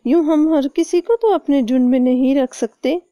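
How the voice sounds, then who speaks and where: A woman voicing a small, cautious bird queen in a cartoon, speaking with measured authority. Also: high voice, warm tone, dry recording, studio quality, natural voice. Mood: cautious, authoritative.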